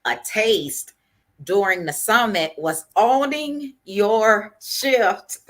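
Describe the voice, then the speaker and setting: A woman's voice: mid-range voice, drawn-out words, fairly bright tone. A woman hosting an interview, polite and affirming toward her guest. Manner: polite, affirming, says yes ma'am. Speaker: host. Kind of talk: casual interview.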